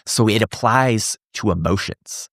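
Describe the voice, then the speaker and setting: A man's voice: lowish voice, measured pace, fairly bright tone, dry recording, clean studio sound. A man in his forties, a coach speaking as a podcast guest, earnest and thinking aloud with frequent restarts. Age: 40s. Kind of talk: casual podcast conversation.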